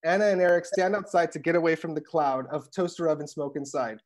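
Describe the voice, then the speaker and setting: A man's voice: fairly high pitch, steady tone, fast. A man in his thirties reading stage directions as scripted narration for a comedy table read, on a video call with earphones. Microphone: wired earphones on a video call.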